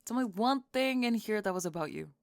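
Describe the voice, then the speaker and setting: Woman acting as a young goth college girl, sharp-tongued, flustered, clipped emphatic phrasing, mid-range pitch, dry close recording, ASMR roleplay drama. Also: expressive, fairly quick, no echo, lightly acted. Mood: defensive, flustered. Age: young adult. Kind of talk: ASMR roleplay monologue, intimate audio drama.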